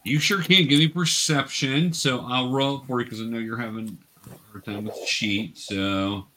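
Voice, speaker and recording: Bright-voiced man running a tabletop game. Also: medium pitch, steady tone, normal speed, a little hiss or hum. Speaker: game master. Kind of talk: narrating and refereeing a beginners' tabletop game.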